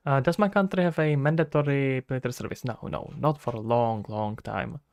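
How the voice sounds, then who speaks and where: A man's voice: medium pitch, fairly even intonation, brisk, darkish tone, no room sound, clean studio sound. A Czech-accented man streaming game development, thinking aloud and answering chat questions casually. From Czech Republic. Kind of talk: casual live-stream chat while working.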